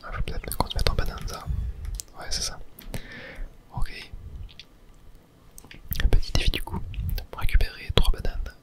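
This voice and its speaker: Someone whispering casual, unhurried ASMR game commentary in a breathy, unvoiced voice, close to the microphone. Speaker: host playing a video game.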